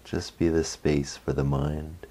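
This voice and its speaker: Very deep, slow man guiding meditation. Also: flat delivery. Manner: gentle instruction in short phrases with long pauses. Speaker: meditation guide. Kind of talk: guided meditation.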